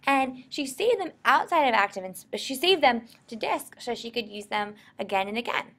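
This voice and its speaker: American lady doing a screencast how-to, animated pitch, bit of vocal fry. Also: moderate pace. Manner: informal, explanatory. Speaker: software instructor. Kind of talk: screencast tutorial narration.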